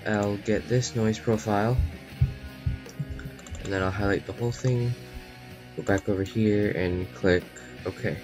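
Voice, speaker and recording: Low-pitched man over guitar music. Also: steady tone, measured pace, long pauses. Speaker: tutorial host. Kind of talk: casual software tutorial. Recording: poor home recording, music behind. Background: plucked guitar music.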